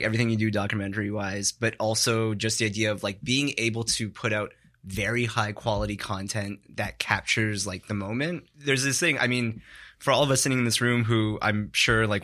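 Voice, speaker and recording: A man's voice: lowish voice, bright, forceful tone. A man guesting on a studio podcast, talking in a loose, reflective way and filling his sentences with "like". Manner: rambling, heavy use of "like", reflective. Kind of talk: casual studio chat.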